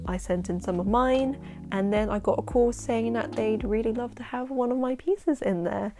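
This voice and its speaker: A young woman with a London accent and a husky voice, chatting excitedly to camera in a casual vlog about her work as a poet. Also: a little high. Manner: chatty, excitable, quick asides and laughs. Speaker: poet and vlogger. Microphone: camera-mounted shotgun mic.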